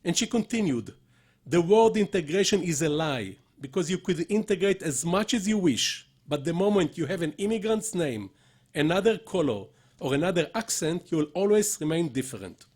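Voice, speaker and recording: A man with a fairly high, husky voice delivers an academic lecture. He is deliberate and emphatic, partly reading from notes and adding rhetorical asides. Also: animated, a little mellow. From Israel. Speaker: professor, conference speaker.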